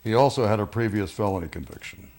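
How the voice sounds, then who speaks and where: Low-voiced, insistent police detective played by a man. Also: a little mellow, close to an everyday voice. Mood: matter-of-fact. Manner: measured, pressing questions. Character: probing, insistent male police detective interrogating suspects. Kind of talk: naturalistic film drama acting.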